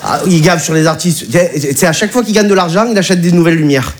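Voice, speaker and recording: A man's voice: a little high, fast. A man from the south of France with a southern French accent, doing rambling, chatty stand-up on a comedy club stage. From Bouches-du-Rhône, southern France. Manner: chatty, rambling, repeats himself. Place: comedy club stage before a live audience. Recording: live club with loud crowd and noise.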